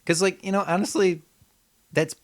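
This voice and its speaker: A man co-hosting a casual comedic chat, thinking aloud and joking as he improvises pitches. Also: steady tone, moderate pace. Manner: jokey, thinking aloud, acts out bits. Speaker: podcast co-host.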